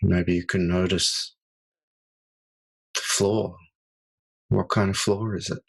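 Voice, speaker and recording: A man guides listeners through a mindfulness meditation. His voice sits fairly low with a breathy, husky grain, and he speaks slowly and softly, leaving long pauses between phrases. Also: flat delivery, low-quality recording. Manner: slow, soft-spoken, with long pauses.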